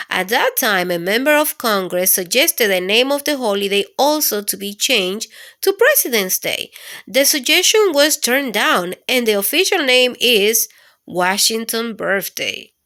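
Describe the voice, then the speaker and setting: A woman reading an educational story aloud for children, in an expressive, instructive way. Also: a little high, unhurried. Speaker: children's storytime host and reader. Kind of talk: read-aloud educational narration for kids.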